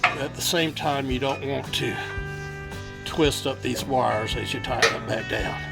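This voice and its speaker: A man's voice: mid-range voice, a little slow, gravelly, over loud music. A man hosting a DIY auto repair video, explaining each step in a casual, plainspoken, folksy way. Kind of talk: casual hands-on how-to narration.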